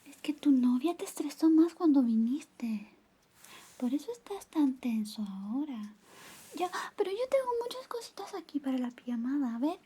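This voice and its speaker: Woman acting out high, whispery, flirty best friend. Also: very animated, moderate pace. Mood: affectionate, flirty. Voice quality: soft, breathy whispering mixed with soft voice. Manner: coaxing, intimate, lingering. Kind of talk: Spanish ASMR roleplay, whispered personal attention.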